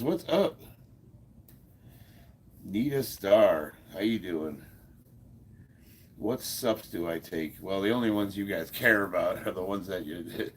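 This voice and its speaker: A man in his fifties with a deep, gravelly voice, stuffed up, chatting casually on a livestream and answering viewer comments with frequent chuckles. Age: 50s. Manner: laid-back, chuckles often, many fillers. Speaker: livestream host.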